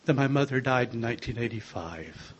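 Soft-voiced older man, wry storyteller. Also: mid-range voice, expressive, a little fast, dull audio, slight background noise. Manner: gentle, wry storytelling with small laughs. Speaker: speaker sharing a recovery story. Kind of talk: personal testimony to a group.

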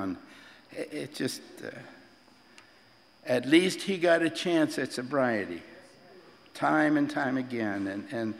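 An eighty-year-old man speaks slowly to a group in a large meeting room, halting and repeating words, chuckling as he goes. His voice is of middle pitch, and it carries a long hall reverb. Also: creaky. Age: 80s. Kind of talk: personal testimony to a group.

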